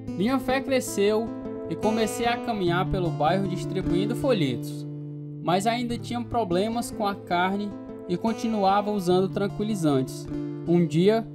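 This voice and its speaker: Devout brazilian guy in a radio drama, earnest and preachy, guitar music behind. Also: sits high. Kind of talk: radio drama testimony narration and dialogue.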